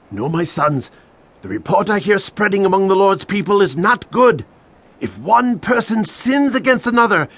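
A very old priest, speaks in a high, husky voice. He is a weary, grieving father, solemn and reproachful, in the manner of a dramatized scripture audiobook. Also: fairly bright tone, full character voice. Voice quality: husky, aged voice.

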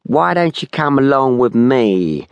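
A man performs a young street tough from an audiobook thriller, sleazy and mocking. The voice is a nasal whine, lifted in pitch, with a phony American twang. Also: sing-song, a little slow, creaky, clean tone, dry recording, lo-fi sound. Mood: sneering, taunting. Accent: put-on American. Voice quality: nasal, whining.

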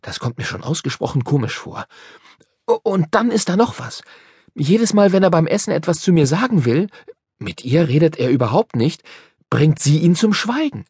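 A man's voice: a little high, sing-song, normal speed, a little mellow, breathy edge, no echo, clean studio sound, pitched above the performer's own voice, full character voice. A man voicing an unhappy young country boy in a storybook reading, talking earnestly and near tears. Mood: secretive. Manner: earnest, upset and tearful. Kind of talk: storybook character voice.

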